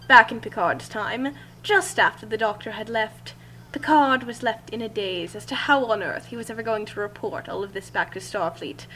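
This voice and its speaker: A woman's voice: a little high, normal speed, sharp tone. A woman reading a first-person story aloud in a theatrical, emphatic manner. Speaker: reader of fan fiction. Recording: noisy amateur recording with hum.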